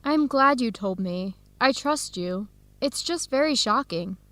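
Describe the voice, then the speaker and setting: Disheartened young woman, earnest, emotive, fairly high voice, radio drama, dry close sound. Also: expressive, a little slow, fairly bright tone, no room sound. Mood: shocked. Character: Helen, disheartened twenty-two-year-old American woman, earnest. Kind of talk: educational radio drama acting.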